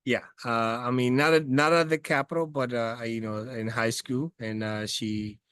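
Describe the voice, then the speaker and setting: A man's voice: medium pitch, steady tone, normal speed, fairly bright tone, clear, resonant tone, dry recording, clean studio sound. A man in his forties from the Republic, speaking English, answering interview questions over a video call with many hesitant fillers and restarts. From Dominican Republic. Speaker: guest. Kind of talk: casual interview chat. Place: outdoors by a house among trees.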